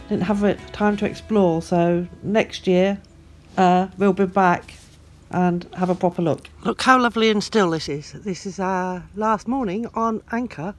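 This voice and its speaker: A woman's voice: on the low side, a little mellow. A woman casually telling about a remote restaurant and a still anchorage while aboard a boat, chatty with many fillers. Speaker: sailing vlogger. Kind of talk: casual travel narration.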